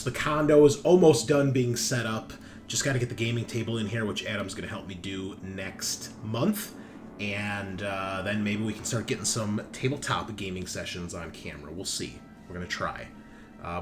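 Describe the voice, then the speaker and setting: Low-voiced man hosting a gaming show, noisy background. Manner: profane, joking banter. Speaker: host and game master of a tabletop gaming show. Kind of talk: casual show intro and announcements. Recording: noticeable background noise.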